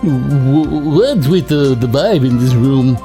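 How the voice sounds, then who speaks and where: A woman's voice: very deep voice, expressive, takes it slowly, over music, heavily acted. A woman voicing a brash, upbeat and slightly awkward well-wisher in a courtroom video game. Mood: flustered.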